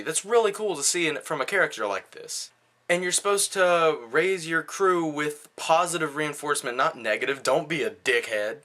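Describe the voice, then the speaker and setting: A man with a slightly high, bright, forward voice talks through a movie review. His delivery is conversational and blunt, full of everyday slang, like an amateur reviewer chatting to viewers. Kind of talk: casual video movie review.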